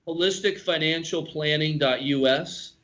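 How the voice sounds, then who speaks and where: Guest in his fifties, financial educator, slightly higher voice, upbeat informal pitch. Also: normal speed, fairly bright tone, lo-fi sound. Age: 50s. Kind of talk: casual radio chat.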